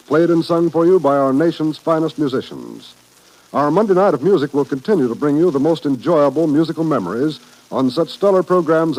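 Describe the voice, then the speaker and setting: Animated, higher-pitched American radio announcer man. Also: poor audio. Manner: formal, polished. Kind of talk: 1950s network radio announcing. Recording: vintage 1950s radio broadcast.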